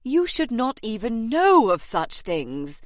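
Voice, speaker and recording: A woman's voice: mid-range voice, lively intonation, moderate pace, no echo, studio quality, lightly acted. A woman voicing a flustered, exasperated, pompous male estate agent in an audiobook. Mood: mortified. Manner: stern, embarrassed, scolding.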